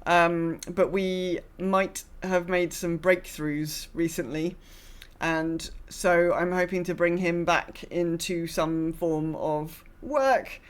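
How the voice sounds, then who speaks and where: Lower-pitched woman in her forties, chatting. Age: mid-forties. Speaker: podcast co-host. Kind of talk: casual chat.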